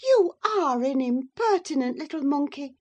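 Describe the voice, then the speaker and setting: A woman narrator performs a young married lady from a classic novel, imperious and scornful. Her voice sits high and swings widely as she scolds, every phrase declaimed with haughty emphasis. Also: expressive, a little slow, mellow, highs cut off. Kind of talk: acted dialogue in a classic novel reading.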